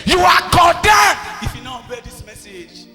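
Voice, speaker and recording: Shouting high-pitched Nigerian preacher man. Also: very animated, moderate pace. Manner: repetitive, scolding, commanding. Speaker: pastor. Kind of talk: fiery Pidgin-inflected sermon. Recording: echoey hall PA, music behind.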